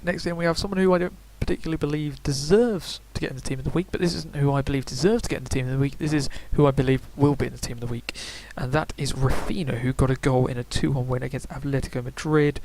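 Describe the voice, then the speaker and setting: A man's voice: medium pitch, fairly even intonation, fast, hardly any pauses, darkish tone, a little hiss or hum, poor audio. A young man giving casual, rambling video game predictions, full of fillers and self-corrections. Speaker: gaming YouTuber.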